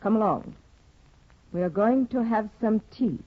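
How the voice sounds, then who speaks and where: An actress playing a proud, scheming young wife in a 1950s radio drama, with a husky, commanding, scornful delivery. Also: female voice, medium pitch, expressive, unhurried. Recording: dull lo-fi 1950 radio recording.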